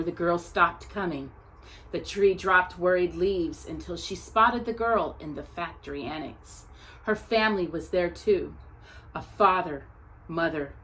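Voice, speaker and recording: A woman reading a children's picture book aloud as a storyteller, with expressive narration and deliberate, dramatic pauses between short phrases. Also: lowish voice, breathy edge, low-quality recording.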